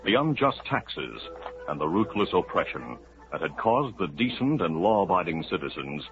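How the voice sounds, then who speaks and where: Booming 1950s radio announcer. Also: male voice, very animated, normal speed, a bit bright, faint music behind. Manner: booming, declamatory delivery. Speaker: announcer and narrator. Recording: muffled, hissy 1950s radio broadcast.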